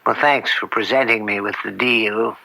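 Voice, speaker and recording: An actor playing an older judge in an old-time radio drama, upright and weary, speaking deliberately with a gravelly, dryly sardonic edge. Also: male voice, on the low side, steady tone, bright, forceful tone, poor audio. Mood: indignant, resolute. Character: upright, weary veteran judge and father, proud and stubborn.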